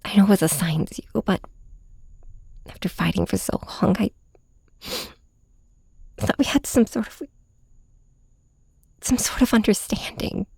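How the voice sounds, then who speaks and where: A woman playing a heartbroken young superhero in an intimate ASMR audio drama, confiding in a villain with halting, raw emotion in a breathy, whispery voice. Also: medium pitch, lively intonation, a little mellow, no room sound, exaggerated voice. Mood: hurt, pleading. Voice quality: breathy, often dropping to a whisper. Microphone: close microphone, dry.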